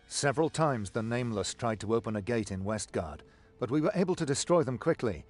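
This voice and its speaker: An actor playing an earnest, loyal soldier in a fantasy video game. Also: male voice, mid-range voice, animated, brisk, natural voice. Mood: urgent briefing. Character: earnest, loyal male soldier reporting on war.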